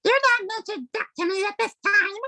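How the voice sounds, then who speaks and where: Pompous cartoon Doberman pack leader, extremely high and squeaky, bright, stilted grand diction. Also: normal speed, no echo, studio quality, heavily acted. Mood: irritated. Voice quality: squeaky, as if on helium. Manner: pompous, stilted formal wording. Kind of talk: animated film script table read.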